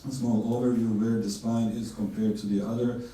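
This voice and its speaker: A neurosurgeon from Bosnia and Herzegovina lectures at a course in a flat, dark-toned voice, stopping to restart his phrases now and then. He sits well back from the mic, so the hall rings loudly around him. A faint hiss runs under the recording. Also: lowish voice. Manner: measured, with frequent fillers and restarts. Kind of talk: conference lecture. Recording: distant mic in an echoey hall with faint noise.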